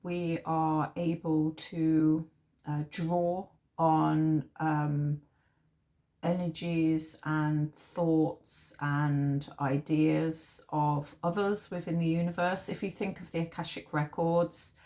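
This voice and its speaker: Slow, even-toned woman, slightly husky and nasal. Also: low voice. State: unwell with a sore throat. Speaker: tarot reader and host. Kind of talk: informal spiritual tarot reading to camera.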